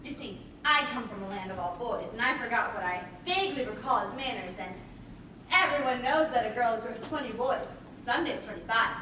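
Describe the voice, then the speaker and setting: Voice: mid-range voice, animated, fairly quick, distant mic, natural voice. An actor playing a boastful, eager, mischievous boy who never grows up, in a theatrical stage play style. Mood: earnest, charming. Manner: exuberant, cocky, persuasive. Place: theatre stage, recorded from a distance. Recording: echoey stage recording from afar, faint noise.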